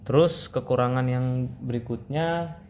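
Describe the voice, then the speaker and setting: A man's voice: medium pitch, measured pace, darkish tone, a little hiss or hum. A man giving a casual product review, explaining things informally as if to friends.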